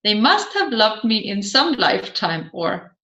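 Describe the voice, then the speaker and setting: A woman narrating an audiobook of a seminar in a deliberate, emphatic, questioning manner. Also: medium pitch, sing-song, a bit bright. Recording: slightly dull, roomy echoing sound.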